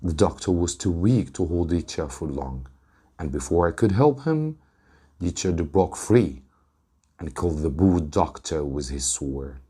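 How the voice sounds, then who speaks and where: A man with a deep, mellow, somewhat husky voice reads a story aloud like a dramatic audiobook. His delivery is measured and deliberate, with emphasis on key moments. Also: expressive. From Egypt. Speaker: English teacher reading a story aloud.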